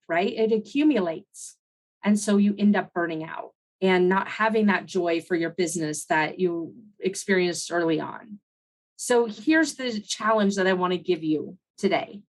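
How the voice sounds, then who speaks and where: Woman podcast host, middle-aged, conversational and encouraging, voice recovering from laryngitis. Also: mid-range voice. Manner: conversational, encouraging, frequent "right?" asides. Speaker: podcast host and consultant coach. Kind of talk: solo podcast teaching talk.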